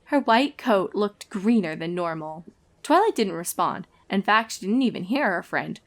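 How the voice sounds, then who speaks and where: A woman's voice: medium pitch, lively intonation, normal speed. A woman reading a fan fiction story aloud as narrator, in a scripted storytelling style.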